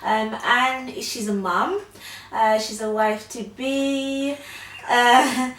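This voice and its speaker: A woman's voice: a little high, fairly even intonation, very slow, fairly bright tone. Upbeat young woman talk show host, roomy sound, mic set back. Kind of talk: casual interview. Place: living room, sitting on a sofa. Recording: echoey room, far from mic, faint hiss.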